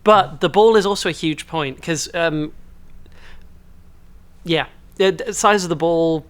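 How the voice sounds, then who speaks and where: Higher-pitched man chatting on a podcast. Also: measured pace, fairly bright tone. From Belgium. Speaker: podcast co-host. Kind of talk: casual podcast chat.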